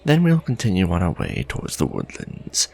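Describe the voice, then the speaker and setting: Vampire lord: gothic roleplay, imperious and courtly, very deep, gravelly, formal diction, doting but menacing. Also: male voice, huge pitch swings, a little mellow, full character voice. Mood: imperious, ambitious. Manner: formal, pompous, measured diction. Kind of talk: romantic gothic ASMR roleplay acting.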